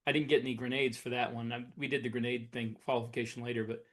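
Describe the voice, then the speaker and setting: A man co-hosting a podcast, talking casually and conversationally with halting, searching phrasing. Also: mid-range voice, flat delivery. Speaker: podcast co-host, science fiction author.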